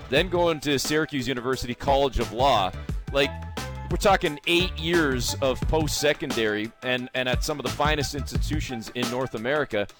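A man co-hosting a sports show, interviewing in a wordy, complimentary, conversational way. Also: mid-range voice. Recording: hip hop music behind voice. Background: hip hop music.

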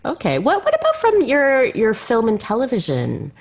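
A woman's voice: a little high, wildly swooping pitch, normal speed, breathy edge. A woman hosting a conversational interview, circling tentatively toward her questions. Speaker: podcast host.

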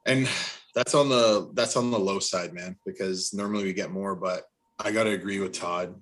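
A man's voice: deep voice, fairly even intonation, moderate pace, a bit bright, rough recording. A man chatting casually, telling personal anecdotes in a sentimental way. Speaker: podcast co-host. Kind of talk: casual chat.

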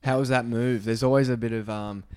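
A man hosting a casual interview, chatty and relaxed with frequent fillers. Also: mid-range voice, brisk, clear, resonant tone, no room sound. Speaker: podcast host.

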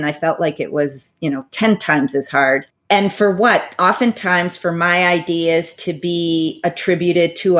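A woman in her forties, a financial advisor, giving thoughtful, measured interview answers with frequent asides. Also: lowish voice. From United States, parents from Bolivia.

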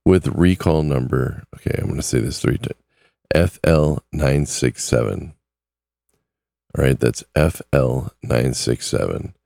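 A man's voice: very deep voice, vocal fry, dark tone, dry recording, studio quality. A man who is losing his voice, hosting a casual talk podcast in a chatty way. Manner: chatty, repeats numbers slowly. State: losing his voice, sore throat. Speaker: podcast host.

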